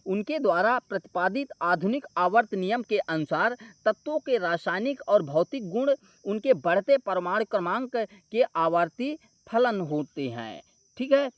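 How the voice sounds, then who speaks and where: A man's voice: sits high, dry recording. Man teaching exam solutions, dry close sound. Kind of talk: explanatory exam solution walkthrough.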